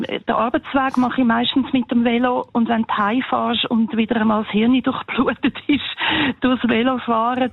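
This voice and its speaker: A woman's voice: a little high, vocal fry, no room sound, phone-quality sound. A woman with a Swiss German accent, an interview guest casually telling personal experiences, in band-limited sound.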